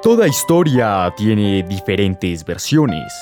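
A man's voice: lowish voice, big pitch swings, slow, darkish tone, faint music behind. A man playing the dramatic narrator of a radio soap opera.

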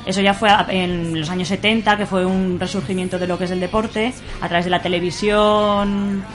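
A woman's voice: mid-range voice, fairly even intonation, normal speed, soft background music. A woman with a Spanish accent from Spain chatting informally as an interview guest, with salty, everyday phrasing. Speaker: interview guest, roller derby player. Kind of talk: casual chat.

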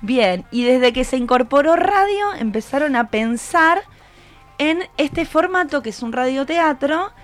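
A woman's voice: sits high, animated, measured pace, faint music behind. An Argentine woman hosting a casual live radio interview, encouraging her guests and prompting them with questions. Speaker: radio show host interviewing students. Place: radio studio. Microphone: studio microphone.

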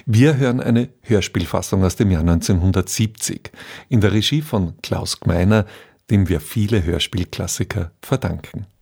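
A man presenting on radio, giving a composed scripted introduction in a husky, mellow voice. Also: low-pitched, moderate pace.

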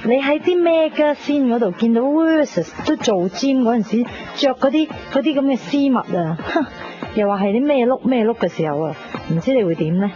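An actress playing a vain, sassy young fashion lover in a Hong Kong Cantonese radio drama, whining and bantering with English words mixed in. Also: female voice, fairly high pitch, lively intonation, fairly quick, dark tone, natural voice. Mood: sassy, catty. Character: sassy, vain, fashion-obsessed young woman, comically whiny. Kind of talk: 1990s radio drama acting. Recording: dull 1990s radio drama recording. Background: faint music.

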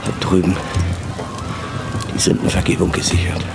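An actor playing a defiant, anguished priest in a German radio drama, speaking with impassioned intensity. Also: male voice, normal speed, over loud music, natural voice. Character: defiant martyred priest, bound to the altar, anguished.

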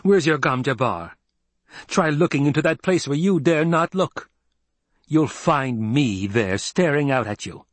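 A man voicing a defiant, coldly angry young heir in a forceful, imperious, declamatory way. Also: medium pitch, expressive, normal speed, no echo, pitched above the performer's own voice, natural voice. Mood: cold anger.

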